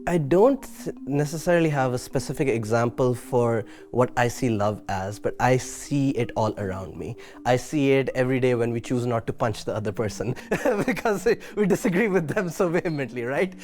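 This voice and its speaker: A man's voice: mid-range voice, normal speed, few pauses, a little mellow, faint music behind. A man speaking earnestly in a group discussion, with repeated, emphatic phrasing and frequent fillers. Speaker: student debater.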